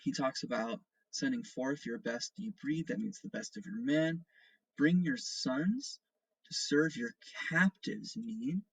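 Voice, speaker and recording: Male teacher for a recorded online school lesson, husky voice, explanatory delivery with frequent check-ins, dry close sound. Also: medium pitch, a little slow, no room sound, rough recording. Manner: explanatory, often checking in with "okay" and "all right". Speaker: seventh-grade teacher. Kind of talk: remote lesson instructions and read-aloud.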